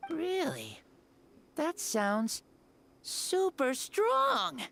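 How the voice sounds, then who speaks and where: A woman playing a dreamy, naive, gentle youngster in a video game, musing hesitantly. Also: high voice, wildly swooping pitch, drawn-out words, creaky, a little hiss or hum, heavily acted. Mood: curious.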